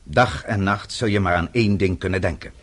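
An actor playing a vengeful middle-aged father in a radio play, speaking in a measured, deliberate and formal way. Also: low-pitched. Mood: cold menace. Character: vengeful father, composed, coldly methodical older man.

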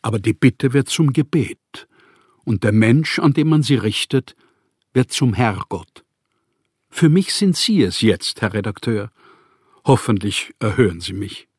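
An actor playing a proud, bitter, needy young writer in a German radio play, speaking in measured, formal literary phrases. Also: male voice, medium pitch, expressive, husky, no room sound, studio quality. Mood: pleading, formal.

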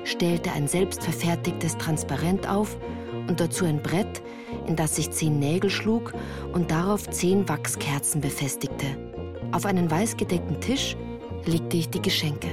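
An earnest storyteller, played by a woman looks back on her girlhood in a radio play. Her voice sits slightly low, with composed, measured literary phrasing, and music plays clearly behind her. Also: close to an everyday voice. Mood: earnest, reflective.

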